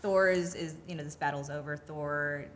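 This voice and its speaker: A woman co-hosting a casual film discussion in an enthusiastic, excitable way. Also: low voice, moderate pace, a little hiss or hum. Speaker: podcast co-host.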